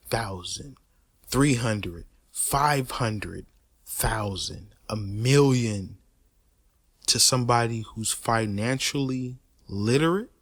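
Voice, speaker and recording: Animated man ranting, dry close sound. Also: mid-range voice, no room sound. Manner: animated, emphatic and repetitive, with frequent restarts and stutters; swears freely, street slang. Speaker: host of a personal commentary channel. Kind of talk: unscripted opinion rant.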